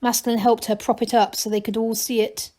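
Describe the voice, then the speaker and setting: Female storybook reader, teacherly, expressive narration, fairly high voice. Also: a little fast. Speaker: teacher reading aloud.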